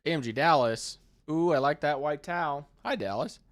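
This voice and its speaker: A man's voice: on the high side, moderate pace. A man in his thirties co-hosting a hobby livestream, reading viewer comments aloud and teasing his co-hosts in casual chat. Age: 30s. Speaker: co-host of a hobby paint livestream.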